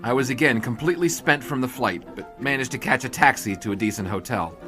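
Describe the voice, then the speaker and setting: Man acting 1920s newspaperman, medium pitch, wry, wordy, reluctant adventurer, audio drama narration, music behind. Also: fast, natural voice. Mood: weary, wry. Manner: dry, wry, formal wordy phrasing. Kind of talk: first-person narration and dialogue in period audio drama.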